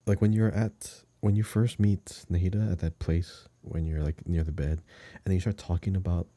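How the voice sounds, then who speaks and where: A man's voice: low voice, steady tone, moderate pace, warm tone, dry recording. A man co-hosting a podcast, chatting casually about a video game and rambling through descriptions in short phrases. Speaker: podcast co-host.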